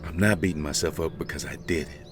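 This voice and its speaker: A man's voice: very deep voice, fast talker, soft background music. A man playing a hardened, haunted father surviving a zombie apocalypse in a comic dub drama. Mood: troubled.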